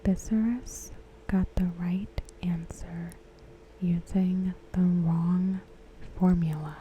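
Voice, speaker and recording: Asmr lady whispering real slow and soft, kinda low breathy voice, bit of noise behind her. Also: steady tone, low-quality recording. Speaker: ASMR creator.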